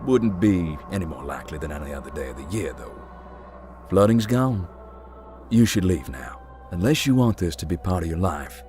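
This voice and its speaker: An actor voicing a calm young man who hunts ghosts, speaking in a dry, matter-of-fact way. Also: low voice, normal speed, faint music behind. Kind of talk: visual novel voice acting.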